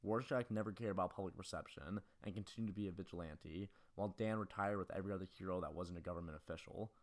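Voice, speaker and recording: A man's voice: sits low, quick pace. A man reading a scripted film analysis as host of a video essay.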